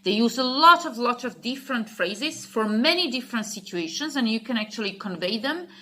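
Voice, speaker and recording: Slovak-accented woman, bright halting voice. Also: a little high, lively intonation. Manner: halting, searching for words, frequent restarts. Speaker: English teacher and YouTuber. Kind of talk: casual talk to camera.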